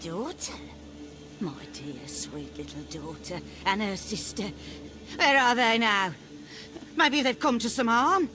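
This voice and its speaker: An actress playing an eccentric, suspicious old peasant granny in a video game, rambling and scolding in rustic speech. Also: female voice, mid-range voice, lively intonation, slow, sharp tone, faint music behind. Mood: wistful rambling.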